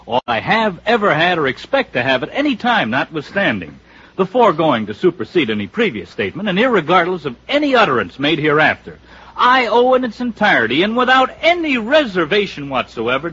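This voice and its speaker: A flippant showbiz employee in a fifties radio play. His slightly high voice swings widely, glib and mockingly grand. The old recording sounds muffled. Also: pushed and bright, slightly rough, heavily acted. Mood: mock-grandiose. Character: flippant, sarcastic male show-business employee. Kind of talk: 1950s radio drama acting.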